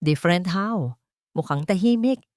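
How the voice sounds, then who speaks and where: A woman's voice: lowish voice, expressive, a little slow, a little mellow, no room sound, studio quality. A woman with a Filipino accent voicing an elegant, scheming rich woman with condescending false concern in a narrated story. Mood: feigned concern. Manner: code-switching, condescending.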